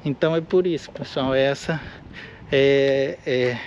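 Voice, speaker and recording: A man's voice: medium pitch, unhurried, a little mellow, lo-fi sound. Brazilian man rambling in a noisy hangar. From Minas Gerais, Brazil. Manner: rambling, with frequent restarts and fillers. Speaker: channel host, eye doctor and pilot. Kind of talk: casual walk-and-talk vlog. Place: airfield hangar.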